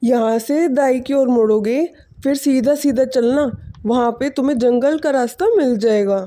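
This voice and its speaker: A woman voicing a kindly, concerned older village man in an animated moral story. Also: sits high, moderate pace, natural voice. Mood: concerned, helpful.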